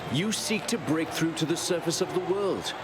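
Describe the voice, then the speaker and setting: An actor playing a boastful, haughty dead champion in a video game, declaiming pompously. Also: male voice, on the high side, lively intonation, moderate pace, heavy background noise, lightly acted.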